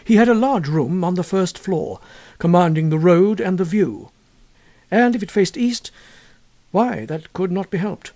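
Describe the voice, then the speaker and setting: A man with a husky voice narrating a literary ghost story in a measured, expressive way. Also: high voice. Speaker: audiobook narrator.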